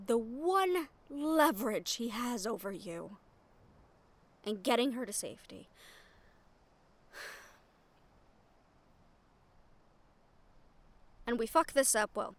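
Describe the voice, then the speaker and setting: A woman performs a female shadow thief in a fantasy audio drama, her voice pitched on the high side. She is wry and sardonic, frank about her nerves, and lets dramatic pauses and sighs hang between her phrases. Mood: grim, resolute. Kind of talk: fantasy audio roleplay addressed to listener.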